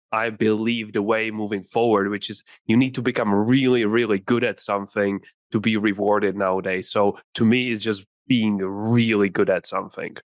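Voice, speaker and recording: A man's voice: fairly low pitch, no room sound. Czech-accented man, 20s, earnest interview answers, dry close sound. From Czech Republic. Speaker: podcast guest, entrepreneur.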